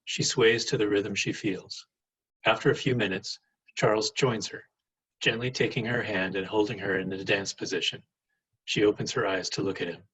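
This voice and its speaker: A man with a husky voice reading stage directions as scripted narration for a play. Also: fairly low pitch, level tone, normal speed, a bit bright, low-quality recording.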